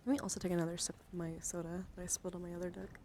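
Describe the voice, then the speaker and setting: A woman hosting an ASMR livestream, drifting between whispers and soft, playful, chatty speech as she talks to viewers. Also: on the low side, level tone, racing. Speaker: livestream host. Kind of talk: ASMR card showcase with casual chat to viewers. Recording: clearly noisy background.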